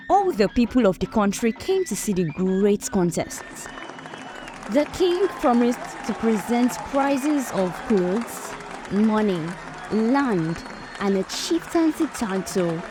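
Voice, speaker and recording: A woman storyteller narrates a scripted folktale in an expressive way. Her mid-pitched voice rises and falls in an animated fashion. Soft music and a faint murmur of a crowd sit behind her.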